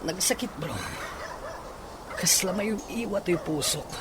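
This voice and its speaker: A man's voice: sits high, expressive, measured pace. An actor playing a heartbroken young man confiding plaintively in his friend in a radio drama. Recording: noisy, with ambient sound.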